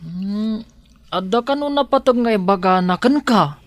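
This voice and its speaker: High-voiced loyal Ilocano houseboy acted by a man. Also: animated, a little slow, no echo. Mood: concerned. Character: loyal, protective male household helper, deferential to his madam. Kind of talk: Ilocano radio drama acting.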